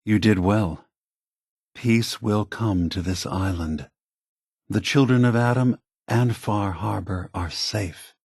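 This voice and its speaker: An actor playing a calm, grave synthetic man, a weary scheming leader, with a husky, measured, deliberate delivery for a video game. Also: fairly low pitch, no room sound, studio quality, heavily acted. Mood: relieved, grateful.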